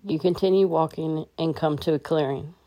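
A woman leading a guided meditation in a calm, gentle, instructional way. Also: deep voice, moderate pace, poor audio.

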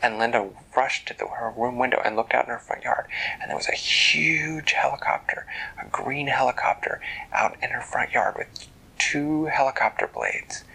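A parent telling a bedtime story in a hushed, gentle, unhurried way. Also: mid-range voice, vocal fry, breathy edge, whispered, rough recording.

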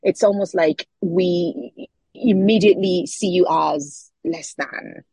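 Husky-voiced Nigerian woman, thoughtful. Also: on the low side, takes it slowly, warm tone, no room sound, low-quality recording. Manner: thoughtful, repeats words as she searches. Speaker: author guest. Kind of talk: interview answers.

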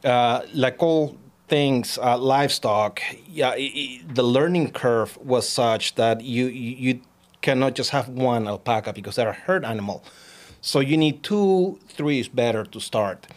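A man's voice: mid-range voice, measured pace. Chatty Peruvian-man. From Peru. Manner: chatty, repeats words, laughs often. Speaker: guest, alpaca farmer. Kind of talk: casual interview chat.

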